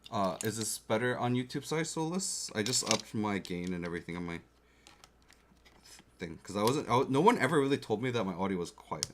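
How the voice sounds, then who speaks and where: A chatty man talks casually on a livestream, often asking his viewers how things sound. His voice sits a little low and comes through a desk mic. Faint keyboard clicks and typing run underneath. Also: expressive, brisk, a little hiss or hum. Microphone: desk mic close to the keyboard.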